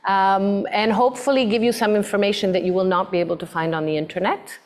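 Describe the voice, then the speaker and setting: A woman's voice: medium pitch, fairly even intonation, fast talker. A woman moderating a design talk for students, hesitant, with many fillers and repeated words. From mixed background.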